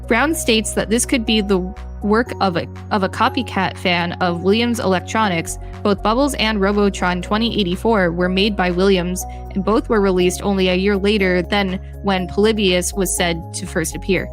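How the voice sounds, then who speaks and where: A woman's voice: mid-range voice, steady tone, moderate pace, few pauses, soft background music. Woman reading an article aloud. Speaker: podcast co-host reading an article.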